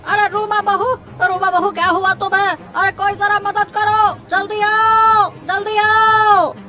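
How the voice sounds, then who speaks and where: An actor voicing a friendly, excitable village fish seller, a man, in a Hindi cartoon story. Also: very high-pitched, unhurried. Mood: alarmed.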